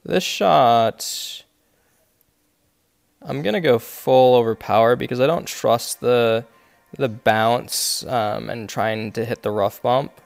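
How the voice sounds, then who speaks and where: A man's voice: medium pitch, slow pace, vocal fry. A man streaming a mobile golf game, explaining each of his shots step by step with many fillers while congested and coughing now and then. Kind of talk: live gameplay commentary.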